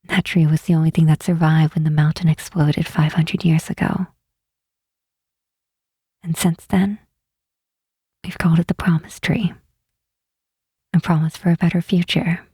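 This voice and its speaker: Woman acting as a gentle demon queen, real breathy asmr whisper, low n mellow, slow and tender. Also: level tone, no room sound, studio quality, exaggerated voice. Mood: wistful, solemn. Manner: unhurried, hushed, tenderly reassuring. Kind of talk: soft ASMR roleplay, intimate and slow.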